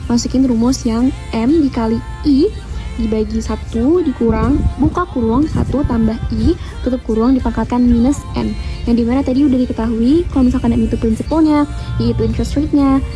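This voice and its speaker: A young woman from Indonesia with an Indonesian accent, patiently explaining a math lesson as a student presenter. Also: a little high. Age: teens. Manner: steady, patient explaining, switching between English and Indonesian.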